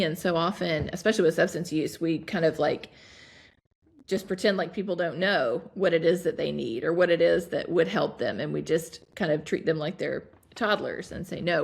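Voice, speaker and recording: A woman hosting a podcast conversation, speaking thoughtfully with frequent pauses and restarts. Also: lowish voice, vocal fry, husky. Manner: thoughtful, many fillers and restarts. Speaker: podcast host. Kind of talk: reflective conversation.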